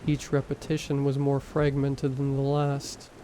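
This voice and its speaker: A man narrating horror stories in a calm, measured storytelling voice. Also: medium pitch, slight background noise. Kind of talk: scripted narration.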